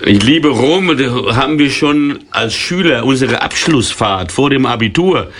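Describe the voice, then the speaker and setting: A man's voice: medium pitch, expressive, measured pace, fairly bright tone, a little hiss or hum. A man in his sixties, a retired football commentator, chatting wryly in a radio interview, telling anecdotes with many fillers and restarts. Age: 60s. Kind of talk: casual radio interview chat.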